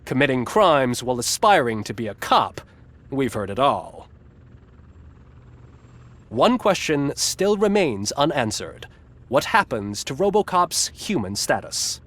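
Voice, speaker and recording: A man's voice: medium pitch, sing-song, measured pace, fairly bright tone. A man playing a glib, satirical TV news anchor in a video game, with a polished, smugly ironic delivery. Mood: wry, polished. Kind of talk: scripted satirical newscast narration.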